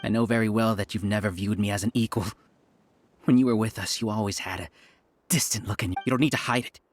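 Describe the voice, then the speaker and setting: A man's voice: fairly low pitch, fairly even intonation, fast, soft background music, lightly acted. An actor playing a proud male mastermind in a game, bitter and wounded. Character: proud cornered male mastermind, desperate then resigned. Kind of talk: game cutscene dialogue.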